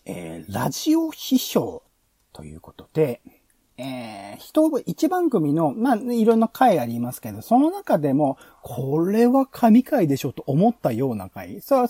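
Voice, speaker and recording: A man hosting a podcast, explaining ideas in a halting, think-aloud manner full of fillers. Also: sits high, mellow, no echo. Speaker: podcast host. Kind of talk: explaining show ideas in a planning talk.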